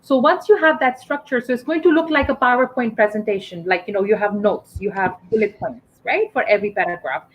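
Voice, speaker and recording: A woman's voice: a little high, brisk, hardly any pauses. A woman from Pakistan tutoring English one-on-one, patiently explaining step by step and often checking with "right?". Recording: muffled lo-fi call audio.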